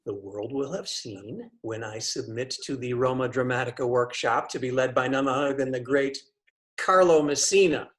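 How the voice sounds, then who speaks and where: A man's voice: mid-range voice, moderate pace, a bit bright, natural voice. A man playing a pompous, thin-skinned professor in a web comedy, theatrical and stammering when challenged. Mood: grandiose. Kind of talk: scripted comedy acting on a video call.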